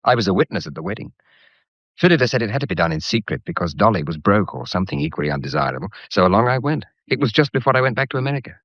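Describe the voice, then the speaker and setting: A man's voice: fairly low pitch, big pitch swings, racing, fairly bright tone, no echo, muffled recording. An actor playing an earnest, frank male family friend who remonstrates with exasperation in a period drama. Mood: frank, defensive. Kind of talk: period radio drama acting.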